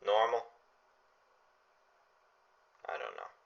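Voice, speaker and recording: A man playing a disillusioned middle-aged householder in a radio play, brooding and halting. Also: lowish voice, wildly swooping pitch, creaky, heavily acted. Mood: shaken, brooding. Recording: dull lo-fi audio.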